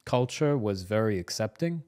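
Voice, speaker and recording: A man's voice: on the low side, expressive, moderate pace, a little mellow. A man of about thirty who grew up in New Zealand, not an English speaker, talking casually and hesitantly as an interview guest. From Iraq-born, grew up in New Zealand. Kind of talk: casual autobiographical conversation.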